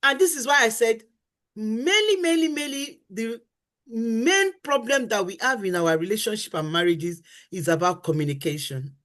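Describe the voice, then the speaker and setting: A woman's voice: a little high, lively intonation, normal speed, fairly bright tone. A middle-aged woman on a faith-based talk show, teaching about marriage. She talks in an earnest, emphatic way, repeats her key phrases, and acts out what spouses say.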